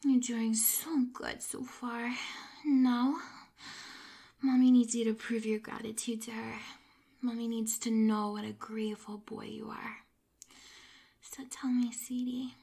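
A woman performing intimate roleplay audio. She speaks in a soft, breathy, coaxing way and often whispers close to the mic. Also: on the high side. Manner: coaxing, slow and drawn-out.

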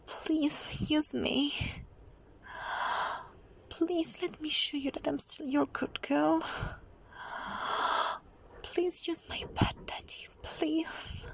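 A young adult woman performing a solo audio roleplay in a soft, breathy, pleading manner, often dropping into a whisper. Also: sits high, fairly even intonation. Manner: slow, drawn-out delivery with frequent whispering.

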